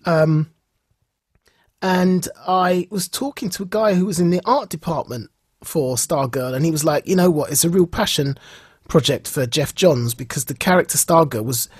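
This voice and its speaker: A man with a very husky, breathy voice chats as a podcast host, recorded dry and close. He tells stories in an animated, keen way and slips into acting out what people said. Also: fairly high pitch, moderate pace, dry recording. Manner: animated storytelling, acts out reported dialogue. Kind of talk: casual enthusiastic podcast chat.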